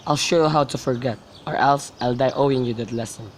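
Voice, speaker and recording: A sensible young man as acted by a student who looks out for his troubled cousin. His voice sits at a middle pitch, in amateur Shakespeare radio-play style. Faint noise and birds sit behind it. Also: brisk, natural voice. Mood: advising. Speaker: student actor. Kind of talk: amateur radio drama acting, modern-English Shakespeare.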